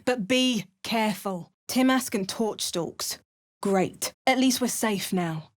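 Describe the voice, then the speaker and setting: A woman's voice: mid-range voice, takes it slowly, dry recording, close to an everyday voice. An actress voicing a wary, determined female adventurer hero with a British accent, trading dry asides with storybook narration. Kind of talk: video game character lines.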